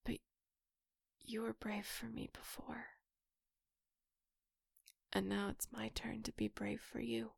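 A woman performing an intimate audio roleplay in a soft, breathy whisper, close to the microphone. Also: medium pitch, flat delivery, takes it slowly, long pauses, no echo.

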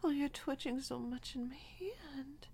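A young woman with a high, light voice speaks in an unhurried, intimate roleplay style. Much of her talk drops to soft whispers, and she stammers and trails off between phrases. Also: slight background noise. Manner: breathy, often whispered, drawn-out delivery. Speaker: audio roleplay performer. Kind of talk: intimate adult audio roleplay.

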